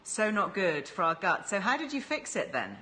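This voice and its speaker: A woman's voice: mid-range voice, big pitch swings, moderate pace, pushed and bright. A woman hosting a casual live-stream chat in an upbeat, encouraging way. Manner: chatty, enthusiastic, encouraging. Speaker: host.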